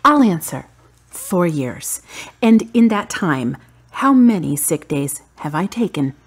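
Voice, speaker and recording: An actress playing a strong-willed, emotional grieving daughter in a radio drama, with a slightly husky voice. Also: female voice, lowish voice, big pitch swings, takes it slowly, heavily acted. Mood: indignant, hurt.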